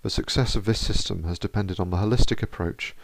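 A man with a low voice and a touch of fry narrates in a steady, measured manner, like a researcher explaining his project. The recording is dry and close, with no room sound around him. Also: no echo. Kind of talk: scripted narration.

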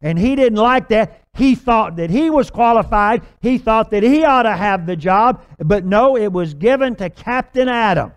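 An older man preaching a sermon, telling a long illustration story on a church stage with lively gestures. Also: high-pitched, moderate pace, few pauses, smooth. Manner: animated, gesturing storyteller with filler restarts. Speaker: pastor. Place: large church stage with purple lights.

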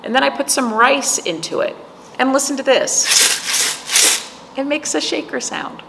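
A woman's voice: sits high, expressive, measured pace. A middle-aged woman hosting storytime as a children's librarian, reading aloud and chatting to young listeners in simple phrases with questions. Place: indoor library room, seated before patterned wall. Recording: echoey room with clear background noise.

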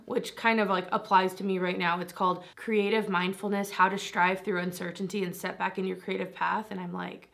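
A young woman with a raspy voice chatting to camera about beauty products in a bubbly, rambling way, laughing often. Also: fairly low pitch, level tone, a little fast, hardly any pauses, a bit bright. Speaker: beauty vlogger. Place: small decorated room indoors.

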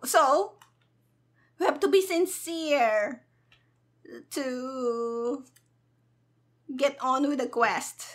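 A woman doing casual, playful gameplay commentary as a streamer, with a giggly, animated, sassy manner. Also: high voice, very slow, long pauses. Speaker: gaming streamer.

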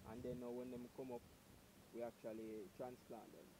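A man, a farmer, answering questions outdoors in a hesitant, soft-spoken way with many fillers. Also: mid-range voice, very animated, normal speed, creaky, slight background noise, rough recording. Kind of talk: casual interview answers. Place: outdoors on a farm.

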